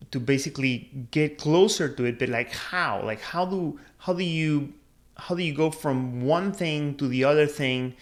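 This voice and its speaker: A man's voice: a little high, a bit bright. A man in his thirties, born in Peru, talking casually to camera about career choices in a thoughtful, earnest way, with frequent restarts and fillers. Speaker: career advice video host. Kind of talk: casual personal talk to camera.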